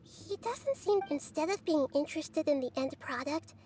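A woman voicing a small, child-like goddess of wisdom in a video game, gentle, composed and articulate. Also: high-pitched, lively intonation, slight background noise. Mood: thoughtful. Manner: measured, articulate, earnest. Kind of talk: video game character dialogue.

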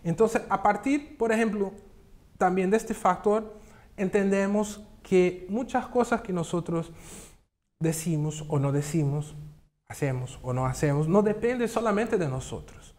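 Slow, halting man in a TV studio. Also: high-pitched, a little mellow. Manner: slow, thoughtful, halting with repeats. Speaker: studio guest. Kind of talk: studio interview talk. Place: TV studio set.

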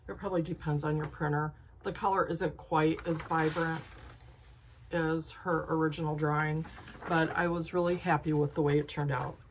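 A woman's voice: low voice, a little hiss or hum, poor audio. A woman in her sixties chatting casually about her quilting projects, rambling with frequent ums and restarts, filmed on a phone in her home craft studio. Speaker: quilting vlogger. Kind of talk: casual show-and-tell chat. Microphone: phone camera at arm's length.

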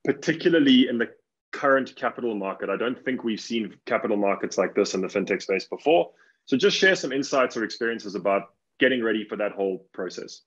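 Husky-voiced man moderating over muffled video call. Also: lowish voice, animated. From Africa. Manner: upbeat, quick follow-up questions. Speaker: panel moderator. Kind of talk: moderating a panel. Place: home office with bookshelf.

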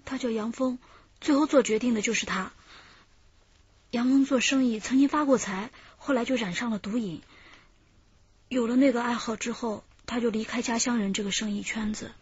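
High, husky-voiced wary gang girl. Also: steady tone, normal speed, no echo, dull audio. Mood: subdued, confessing. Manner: complaining, evasive. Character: wary, defiant young woman in a criminal gang. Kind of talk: radio drama acting.

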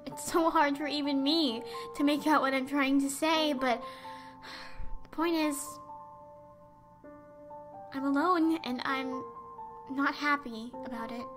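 A teenage girl voicing a lonely, anguished young girl in a halting, emotional dramatic monologue. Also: high voice.

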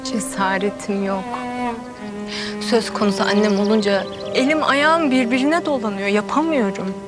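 A woman's voice: mid-range voice, moderate pace, natural voice. An actress playing an earnest, vulnerable young woman grieving her mother in a naturalistic TV drama. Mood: pained, pleading. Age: young adult. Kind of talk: TV drama acting, naturalistic dialogue. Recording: prominent music under TV drama dialogue.

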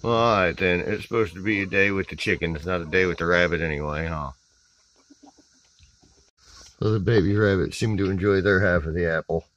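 A man's voice: low-pitched, a little fast, pauses often, a bit bright, a little hiss or hum. A man narrating a casual backyard vlog while filming his chickens outside, muttering wry remarks at the flock. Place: outdoors in a rural backyard with chicken coops. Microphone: handheld camera. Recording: dull lo-fi camera audio with clucking behind.

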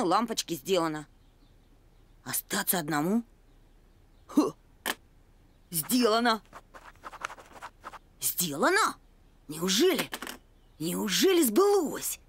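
A woman voicing a carefree, messy young boy puppy in a children's cartoon, with an expressive, boyish delivery that grumbles and exclaims. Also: mid-range voice, slow, pauses often. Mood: puzzled, uncertain. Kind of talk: children's animated series acting. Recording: music under the voice.

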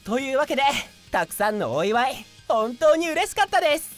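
A woman voicing a cheerful, affectionate young male office junior in an anime-style voice drama, polite, playful and expressive. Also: sits high, brisk, soft background music. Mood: cheerful, grateful.

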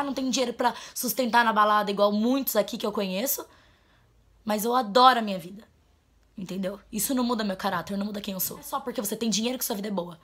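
A young woman, talking into a camera in a small bedroom. Her voice sits a bit high, and she is blunt and emphatic. She drops low, then turns loud to drive a point home. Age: 20s. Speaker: vlogger. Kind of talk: heartfelt vent to camera. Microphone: camera mic at close framing.

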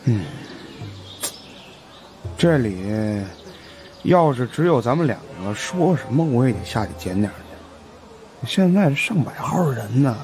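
A man's voice: mid-range voice, animated, unhurried, pauses often, a little mellow. A man voicing a fat, greedy, superstitious investigator who chats in a hesitant, grumbling, colloquial way in an audiobook. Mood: grumbling, wary. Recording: faint background noise.

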